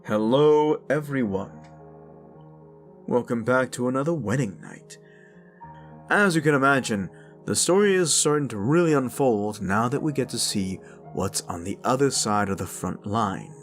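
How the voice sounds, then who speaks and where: A man's voice: medium pitch, animated, measured pace. Man giving a casual channel outro over music. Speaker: channel creator, voice performer. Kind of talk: casual outro addressed to audience.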